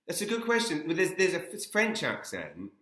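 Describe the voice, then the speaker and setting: A man's voice: high voice, wildly swooping pitch, brisk, a bit bright. British guy doing a casual interview, gushing, trips over repeated words, roomy echoey sound. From England. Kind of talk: casual chat.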